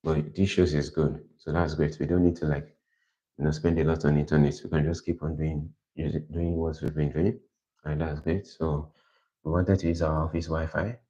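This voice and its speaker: Deep-voiced man rambling through plans. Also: flat delivery, moderate pace. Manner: rambling, self-correcting, plans out loud. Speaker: livestream host. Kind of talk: casual thinking aloud. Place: quiet indoor room. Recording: dull lo-fi livestream audio.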